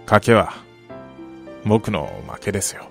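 A slow, gravelly, unforgiving older man. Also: on the low side, expressive, faint music behind. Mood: grave, restrained. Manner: slow, quiet, measured polite speech. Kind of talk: radio drama acting.

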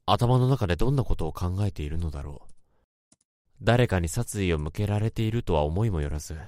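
An actor playing a male office worker who can hear thoughts, narrating a radio drama in a measured, introspective way. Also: low-pitched, darkish tone, no room sound, studio quality. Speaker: narrator and lead character.

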